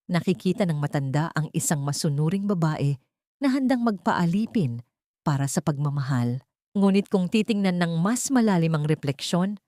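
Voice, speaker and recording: A woman's voice: fairly low pitch, a little mellow, no echo, studio quality. A woman reading scripted, dramatic narration of a love story as a storyteller.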